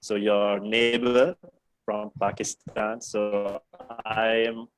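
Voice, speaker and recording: A man from Sri Lanka, a university staff member, speaking slowly on a video call with many pauses. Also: fairly low pitch, level tone, clean tone, dry recording, faint music behind, low-quality recording. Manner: slow, halting, many pauses.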